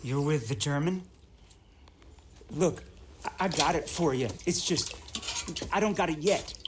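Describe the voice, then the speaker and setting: An actor playing a desperate young debtor with a rural American accent, nervous and halting, in a video game. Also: male voice, on the high side, background noise, natural voice. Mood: nervous pleading. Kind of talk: dramatic video game cutscene acting.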